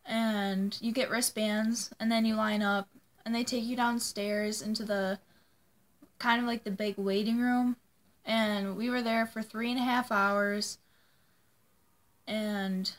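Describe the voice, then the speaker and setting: A woman's voice: medium pitch, fairly bright tone. A young woman telling a personal story to viewers in a casual, informal way, often dropping "like" into her sentences. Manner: informal, peppers speech with "like". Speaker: vlogger.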